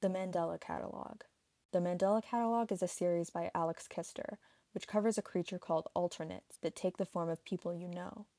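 A woman's voice: lowish voice, steady tone, brisk, mellow, no echo. A woman reading a scripted explainer narration for an online video.

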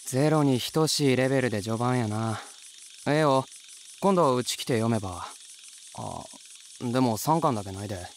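A man playing a brooding, dry teenage schoolboy with a Mie dialect in a voice comic, low-key in manner. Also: expressive, moderate pace, slight background noise, close to an everyday voice. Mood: dry, casual. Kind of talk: voice comic acting. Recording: faint music and insects behind.

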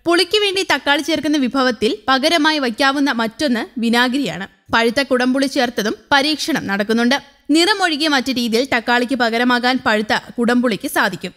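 A woman with a bright, high voice and a Malayali accent reads a scripted news report. Her delivery is even, formal and steady, a clean studio voice-over. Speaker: news reader.

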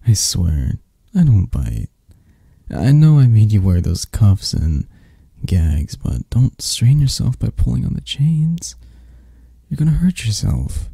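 A man voicing an obsessed, flirty, possessive male kidnapper in a hushed, halting ASMR roleplay. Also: fairly low pitch, sing-song, no echo, studio quality, exaggerated voice. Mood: teasing, tender. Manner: hushed, halting, breathy-soft delivery.

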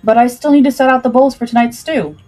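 A woman's voice: fairly high pitch, fast, mellow, soft background music, lightly acted. A woman voicing a cheeky, eager sixteen-year-old cabin boy with youthful, casual banter in a pirate story. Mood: protesting.

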